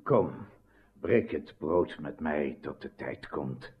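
An actor playing a solemn, ailing old king in a radio drama, speaking gravely and haltingly. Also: a bass voice, expressive, exaggerated voice. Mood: weary, longing. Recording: dull lo-fi old radio recording.

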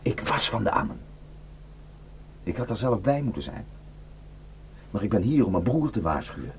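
Radio play part: a weary, grim fugitive, low and very animated, on a noisy recording. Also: male voice, normal speed, creaky. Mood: grim warning. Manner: grim, cursing, rambling storyteller. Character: weary, bitter, well-travelled fugitive warning of massacres. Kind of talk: radio drama acting.

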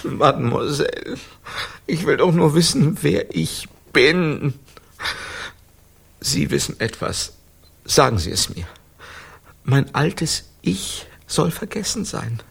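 A man's voice: fairly high pitch, takes it slowly, gravelly, long pauses, breathy edge, full character voice. An actor playing an agitated, probing amnesiac man with a head wound in a German radio crime drama. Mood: pleading. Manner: probing, insistent, stammering when excited.

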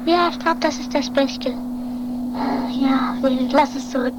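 A woman's voice: sits high, fairly even intonation, a little slow, very noisy. A woman in an amateur radio play voicing a distressed, pleading climber, plaintive and repeating phrases. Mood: relieved, grieving. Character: distressed, pleading climber, emotional and easily exhausted. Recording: homemade radio play under loud music.

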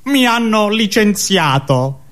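A man's voice: sits high, very animated, slow, fairly bright tone, voice pushed up, full character voice. Man playing a sleepy, depressed owl husband. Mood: weary, gloomy. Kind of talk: absurdist radio comedy sketch.

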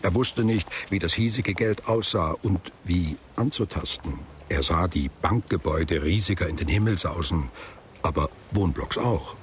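A man narrating a literary radio drama in a measured, formal way, with music behind him. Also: sits low, slight background noise.

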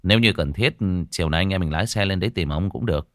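Man as relaxed older boss, lowish voice, familiar, reassuring, audiobook character. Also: fast, dry recording, studio quality, natural voice. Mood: calm, reassuring. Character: easygoing, reassuring older male colleague and boss.

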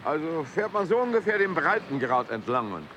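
A blunt old-hand sea captain, radio drama, lively pitch, windy ship noise. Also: male voice, a little fast. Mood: offhand, curt. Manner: blunt, offhand, unbothered. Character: gruff, carefree veteran sea captain, male. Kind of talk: German radio play acting. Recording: noisy windy seagoing ship ambience.

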